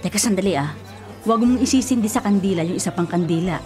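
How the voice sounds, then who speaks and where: A woman playing a bossy, superstitious older sister in a radio drama, scolding, insistent and quick to defend herself. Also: mid-range voice, lively intonation, darkish tone, close to an everyday voice. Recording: prominent music behind the voice. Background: music.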